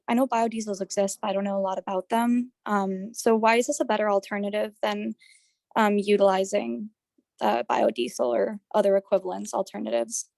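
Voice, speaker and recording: Gravelly-voiced woman asking a question. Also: medium pitch, darkish tone, dry recording. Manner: tentative, uses "like" often.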